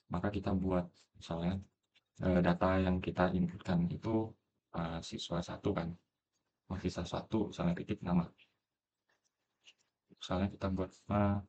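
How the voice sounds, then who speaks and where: Man, very low voice, relaxed coding tutor, filler-heavy, faint background hiss. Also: highs cut off. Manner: relaxed, thinking aloud with many fillers. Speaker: programming tutor. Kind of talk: screen-recorded coding tutorial.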